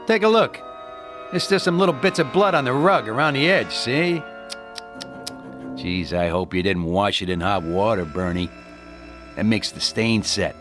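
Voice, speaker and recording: An actor playing a cocky, menacing avenger in a crime radio drama, with slangy, taunting, sardonic delivery. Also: male voice, deep voice, a bit bright, exaggerated voice. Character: cocky, menacing male avenger posing as gangster's messenger. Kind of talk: hard-boiled crime radio drama acting.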